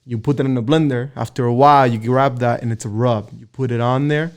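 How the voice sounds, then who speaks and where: Laid-back guy in his 30s from the dominican republic, smooth clear voice, chats easy. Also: medium pitch, a little fast. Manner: relaxed, easygoing storyteller with many fillers. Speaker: guest, firefighter. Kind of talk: casual interview chat.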